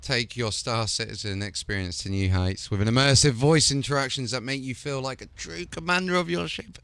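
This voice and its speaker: A man's voice: mid-range voice, brisk, a bit bright, smooth, dry recording. A man hosting a gaming channel, chatting casually and enthusiastically about game add-ons before a promotional wrap-up. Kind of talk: casual showcase chat and promo.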